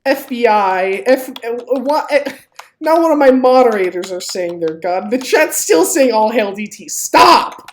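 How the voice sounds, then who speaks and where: A woman's voice: on the high side, animated, measured pace. A woman giving casual live game stream commentary, informal and sweary, jokingly pleading with viewers. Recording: clearly noisy home stream, keyboard clicks.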